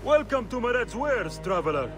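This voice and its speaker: An actor playing a sly, joking market trader with a teasing, wheedling manner. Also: male voice, very high-pitched, big pitch swings, moderate pace, over music. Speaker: merchant.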